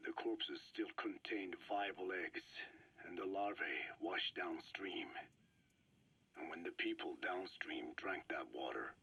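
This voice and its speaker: An actor playing a grave, weary old man of science in a video game, speaking in a measured, husky, breathy rasp. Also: deep voice, bright, forceful tone, muffled recording, heavily acted. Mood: grim, measured. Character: grave, weary old male scientist recounting dark experiments. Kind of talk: video game voice acting, slow explanatory account.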